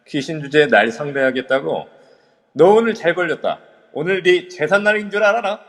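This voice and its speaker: A man's voice: fairly high pitch, lively intonation, normal speed. A man playing a brash young man leading a ghost-hunting group, commanding and cocky, in an amateur audio drama. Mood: defiant. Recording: long reverb over a voice app.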